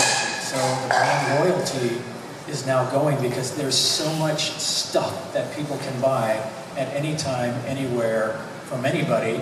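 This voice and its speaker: Flat-voiced man on a panel, echoey. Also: mid-range voice. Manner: hesitant, many restarts. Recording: echoey hall from far off, noisy.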